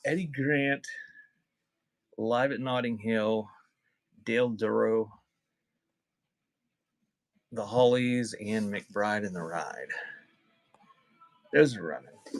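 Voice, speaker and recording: A man's voice: lowish voice, slow, pauses often, no room sound. A man hosting a casual live sale, rambling conversationally between calling out items and chatting with viewers. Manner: conversational, rambling between item call-outs and chat. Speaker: live auction seller and host. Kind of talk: casual livestream selling chat.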